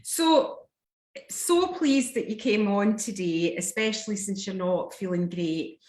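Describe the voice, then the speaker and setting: A woman's voice: medium pitch, unhurried, a little mellow, echoey room. A woman hosting a live online interview, encouraging her guest with short affirmations. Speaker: host. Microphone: video call.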